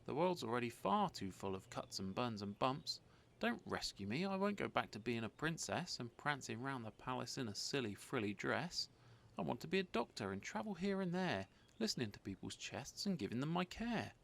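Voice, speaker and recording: A man's voice: mid-range voice, big pitch swings, normal speed, creaky, fairly bright tone. A man voicing a kind, plucky little princess in a storybook, with a helpful manner. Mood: firm.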